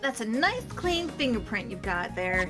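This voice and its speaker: A woman doing an eager young forensic scientist from a game, helpful and upbeat. The voice sits high and leaps about in pitch. Game music plays clearly behind her. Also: sing-song, moderate pace. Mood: cheerful. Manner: cheerful, helpful, explanatory. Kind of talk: fan dub of a game.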